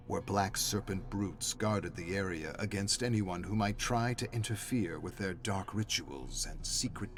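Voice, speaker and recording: A man reading a story as a narrator, in a dramatic scripted style. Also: deep voice.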